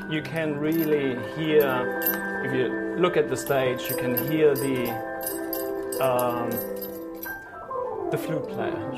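A man with a mid-pitched voice explains technical work in an informal interview. He speaks haltingly, thinking aloud as he works. Loud music from practising orchestra players runs behind him in a large hall. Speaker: radio sound engineer. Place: large town hall concert venue.

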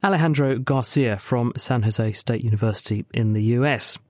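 A man hosting a science show, reading smooth scripted links and putting questions to a guest. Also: mid-range voice, normal speed, no echo, clean studio sound. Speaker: radio science show host. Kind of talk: scripted presenting and interviewing.

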